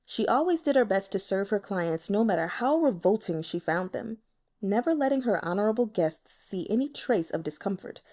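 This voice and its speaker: A woman's voice: mid-range voice, lively intonation, normal speed, a little mellow. A woman reading fiction aloud as an audiobook narrator, in a dramatic storytelling style.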